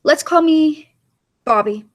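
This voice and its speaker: A woman's voice: high-pitched, expressive, slow, muffled recording. A woman playing a solemn, self-important scientist's assistant, stiff and dramatic, in a radio play. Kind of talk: melodramatic radio play acting.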